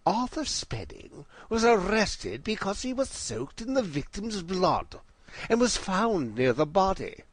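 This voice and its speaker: An actor playing a brilliant, self-assured Victorian gentleman detective in an audio drama, with a precise, formal and theatrical delivery. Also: high-pitched, big pitch swings, measured pace, a little hiss or hum. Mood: self-assured, explanatory.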